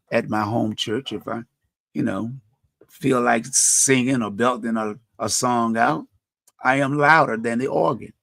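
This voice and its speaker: A middle-aged man with a deep voice, hosting a community radio talk show and speaking earnestly about faith and helping others, often restarting phrases. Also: vocal fry, a bit bright, dry recording. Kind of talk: reflective personal talk.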